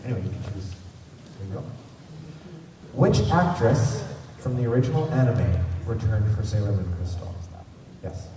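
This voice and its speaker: A man's voice: on the low side, animated, moderate pace. A man hosting a fan convention panel, talking informally and a bit ramblingly to a live audience in a big hall. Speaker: panel host running a fan convention trivia session. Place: large indoor convention hall. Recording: very echoey hall from far off, noisy. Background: room ambience and noise.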